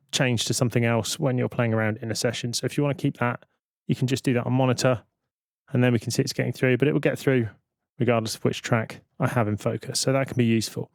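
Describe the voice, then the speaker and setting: A man's voice: medium pitch, no echo, clean studio sound. A man presenting a tutorial in an informal, explanatory way, thinking aloud as he goes. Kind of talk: informal software tutorial narration.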